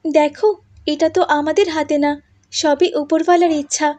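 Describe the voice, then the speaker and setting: A woman's voice: sits high, fairly even intonation, normal speed, dark tone, natural voice. A woman voicing an emotional, earnest young wife and mother in a cartoon. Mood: gentle reasoning. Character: anguished young village wife defending her daughter.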